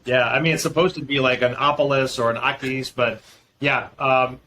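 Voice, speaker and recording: A man's voice: mid-range voice, fairly even intonation, moderate pace, sharp tone, a little hiss or hum. A middle-aged man, a podcast guest talking in a friendly, loose way with many ums and restarts. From Boston area, US. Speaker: podcast guest, fintech founder. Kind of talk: casual interview chat.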